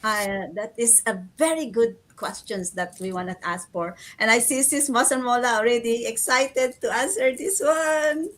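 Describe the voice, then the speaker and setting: High-voiced woman hosting a livestream. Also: normal speed, few pauses. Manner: warm, laughs often, restarts sentences. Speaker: livestream host. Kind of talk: casual livestream chat.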